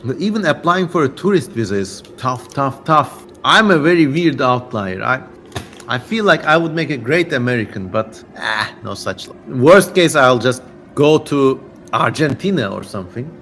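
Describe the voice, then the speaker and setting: A man's voice: mid-range voice, animated, moderate pace, a bit bright. A man with a Turkish accent and a mid-range voice hosts a livestream. He talks casually, with some playful theatrical flair, as he addresses his viewers. There is noticeable noise behind him. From Turkey. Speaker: livestream host and reader.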